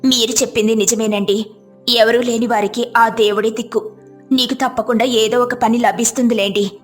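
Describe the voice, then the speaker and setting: A woman voicing a poor, devout village wife in a moral story, gentle, respectful and plaintive. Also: a little high, flat delivery, moderate pace, faint music behind, close to an everyday voice. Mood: encouraging.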